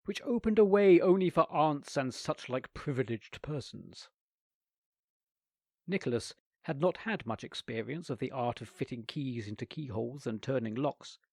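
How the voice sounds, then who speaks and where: A man reading a humorous story aloud in a wry, expressive way. His voice is slightly high and very animated, recorded dry with no room sound. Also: no echo.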